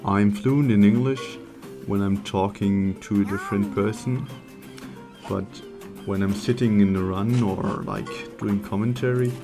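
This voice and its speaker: A man with a German accent, a native German speaker, giving halting, unscripted commentary on gameplay as he searches for words. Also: lowish voice, steady tone, creaky. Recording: game music playing behind. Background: video game music.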